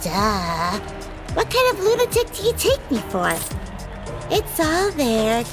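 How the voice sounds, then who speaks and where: A woman's voice: high-pitched, huge pitch swings, slow, heavily acted. A woman voicing a wild, cocky female fighter who talks in a playful, taunting way. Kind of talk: ASMR audio drama roleplay. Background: background music.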